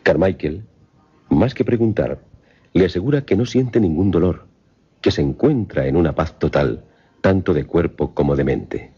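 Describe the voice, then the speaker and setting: A man narrating a scripted film synopsis in a measured, formal, dramatic storytelling manner. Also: low-pitched, animated, mellow, slightly rough. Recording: slightly muffled voice-over with music. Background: music.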